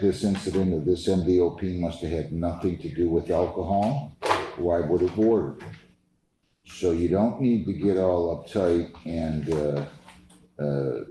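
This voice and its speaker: A man with a deep, dark-toned voice presides as a judge in a reverberant courtroom. He questions and admonishes firmly, repeating himself and cutting in. Faint noise sits behind him. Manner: stern, firm, interrupts and repeats himself.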